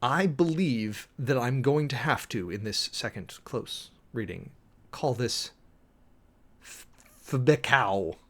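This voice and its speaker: Theatrical, deliberate man in preacherly delivery. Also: medium pitch, fairly bright tone. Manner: theatrical, deliberate, formal, sermon-like delivery with emphatic pauses. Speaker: preacher and commentator hosting a show. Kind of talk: dramatic reading and close-reading commentary of a legal document.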